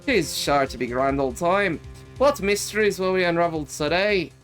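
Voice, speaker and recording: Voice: mid-range voice, lively intonation, moderate pace, fairly bright tone, lightly acted. A streamer putting on an exaggerated Irish accent to voice a hearty sea-captain narrator, with faint music behind. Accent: exaggerated stereotypical Irish. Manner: sing-song, hammy stage brogue. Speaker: streamer reading a follower message.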